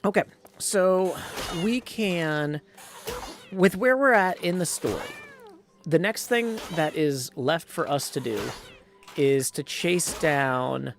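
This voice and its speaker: A man's voice: on the high side, animated, slow, a little hiss or hum. A man hosting a livestream with casual, rambling game commentary, addressing viewers and reading text aloud. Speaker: livestream host and gamer. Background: video game sound.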